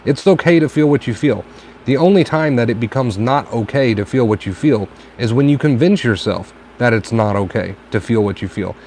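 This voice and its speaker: A tired man with a raspy, dry throat, giving calm advice on a casual live stream and repeating his points for emphasis. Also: mid-range voice, moderate pace. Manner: reflective, repeats phrases for emphasis. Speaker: host answering viewer questions. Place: home stream from a tablet. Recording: faint background noise, lo-fi stream.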